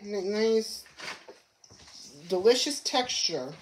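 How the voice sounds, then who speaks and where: Woman host, slow, halting delivery, echoes instructions, noisy home kitchen recording. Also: medium pitch, expressive. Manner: halting, restarts phrases, repeats what she is told. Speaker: cooking show host. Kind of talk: casual cooking demo, repeating instructions.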